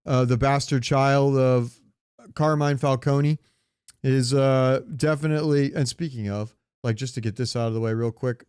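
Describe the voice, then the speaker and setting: A man's voice: medium pitch, a little mellow, smooth, no room sound, studio quality. A man chatting casually as a podcast co-host in a rambling way, swearing now and then and asking questions. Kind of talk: casual chat about comics and movies.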